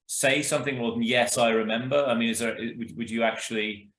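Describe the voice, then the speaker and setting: A man hosting an interview, asking questions hesitantly and trailing off quietly. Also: medium pitch, steady tone, brisk, roomy sound. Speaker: host. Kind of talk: casual interview.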